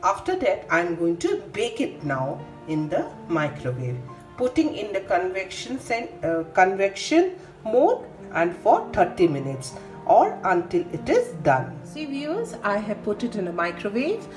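An Indian woman guides viewers through a home recipe with a very animated, swooping pitch. Her phrasing is halting and repetitive. The recording is echoey and roomy, with clear music behind her. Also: recorded from a distance. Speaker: home cooking vlogger. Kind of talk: step-by-step recipe narration.

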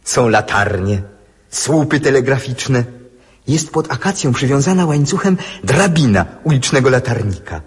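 A restless, dreamy schoolboy in a radio play. The voice is quite husky and mid-pitched, ringing in a long reverb. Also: male voice, lively intonation, normal speed. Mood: bored, scornful. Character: restless schoolboy, impatient dreamer, eager and shy. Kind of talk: radio drama acting.

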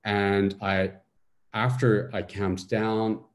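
Dublin Irish man in his forties, very low voice, intense storyteller, video call from home. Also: very slow. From Ireland. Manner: intense, animated storyteller with hand gestures. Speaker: guest. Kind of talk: casual chat, personal story. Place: living room at home.

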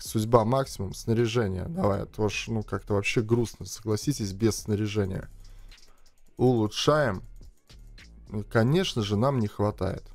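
A man's voice: fairly low pitch, darkish tone. Young man chatting over a mobile game. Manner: chatty, thinks aloud, talks to viewers. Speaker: gaming streamer. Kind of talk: casual game commentary. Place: small room indoors. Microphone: headset, webcam framing. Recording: dry close sound, faint music behind.

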